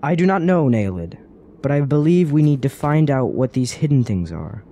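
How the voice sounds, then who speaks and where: An actor playing a thoughtful, questioning adventurer in a fantasy radio drama, earnest and persuasive, with formal phrasing. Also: male voice, medium pitch, very animated, moderate pace, mellow.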